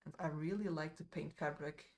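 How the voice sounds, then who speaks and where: A woman's voice: low-pitched, fairly even intonation, fairly quick, darkish tone. A woman with a Dutch accent chatting casually to her live-stream viewers while she paints, rambling a little with fillers and asides. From Netherlands.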